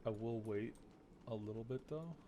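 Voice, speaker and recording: A man in his thirties giving casual let's-play commentary, low-key and muttering as he thinks aloud. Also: normal speed, noisy background. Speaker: game streamer. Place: small room at home, indoors. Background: game music.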